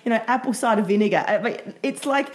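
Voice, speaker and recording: A woman co-hosting a talk show while half losing her voice, chatty and quick to laugh. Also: fairly high pitch. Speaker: podcast co-host. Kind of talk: casual chat.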